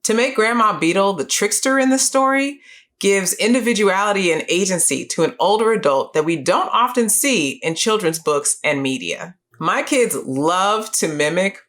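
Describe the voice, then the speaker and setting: A middle-aged woman hosting a book series, giving scripted educational narration in a clear, measured, explanatory way. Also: medium pitch, lively intonation, a bit bright. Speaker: host of a children's book review series.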